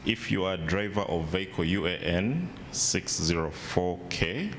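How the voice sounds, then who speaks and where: A man working as the emcee of an event, making announcements in a halting way with restarts. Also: creaky. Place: large event hall. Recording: long hall reverb, loud rumble.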